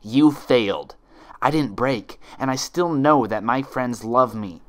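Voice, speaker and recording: A man's voice: medium pitch, slow pace. A man voicing a defiant, desperate young female unicorn in a storybook reading, with intense, emphatic delivery. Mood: angry defiance. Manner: intense, emphatic, shouting when angry.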